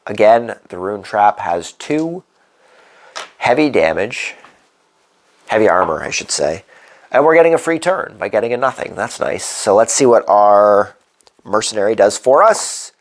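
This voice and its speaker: A congested man hosting a solo board game playthrough, thinking aloud as he works through the rules and dice rolls. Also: on the low side. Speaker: board game channel host.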